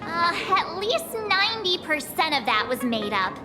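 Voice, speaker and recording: A woman's voice: very high voice, big pitch swings, normal speed, echoey room. A woman voicing a skeptical, impatient young female investigator in a video game, with an incredulous, exasperated manner. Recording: voice over loud music.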